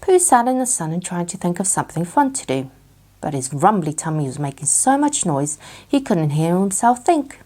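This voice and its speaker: A woman narrating a children's bedtime story as an expressive storyteller. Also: lowish voice, moderate pace.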